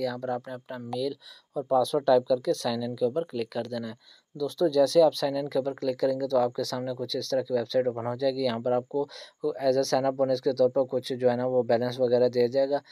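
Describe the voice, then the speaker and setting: A man gives a quick, step-by-step screen tutorial as a channel host. His pitch stays fairly flat and his tone is mellow, with frequent short check-in questions as he instructs. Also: no echo. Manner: repetitive tag questions, instructional. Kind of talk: screen-recorded tutorial narration.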